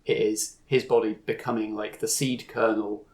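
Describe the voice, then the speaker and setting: A man's voice: fairly low pitch, little pitch movement, moderate pace. A man acting as game master, narrating and explaining a tabletop game as he improvises it, thinking aloud.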